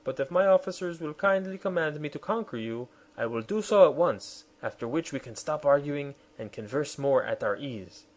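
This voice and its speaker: A man voicing a polite, stubborn young soldier who speaks calmly and firmly. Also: fairly high pitch, moderate pace, few pauses, close to an everyday voice.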